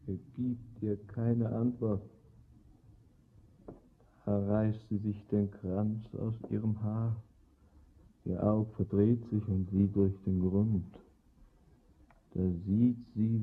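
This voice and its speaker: An actor playing a brooding, visionary bridegroom in a radio drama, reciting verse in a solemn, incantatory manner. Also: male voice, lowish voice, husky, exaggerated voice. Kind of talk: poetic radio drama acting. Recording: dull lo-fi radio recording.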